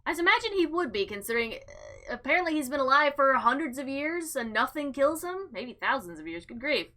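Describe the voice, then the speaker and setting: High bright woman doing a visual novel lets play, super lively, playful asides, faint music. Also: a little fast. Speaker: gaming video host. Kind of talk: visual novel playthrough, reading aloud with casual commentary.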